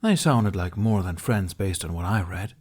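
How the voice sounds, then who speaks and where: A man's voice: low-pitched, lively intonation, normal speed, a little mellow, slightly rough. A man voicing a cheeky young male amateur actor who plays a knight with mocking sarcasm in a story reading. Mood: teasing. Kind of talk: character voice in fan fiction reading.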